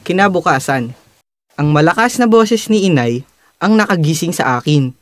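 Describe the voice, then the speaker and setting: Young man as earnest, higher-voiced Filipino schoolboy. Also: lively intonation, moderate pace, a bit bright, no echo, clean studio sound. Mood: reflective. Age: young adult. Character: earnest, conflicted teenage schoolboy narrating his own story. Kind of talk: amateur Filipino radio drama.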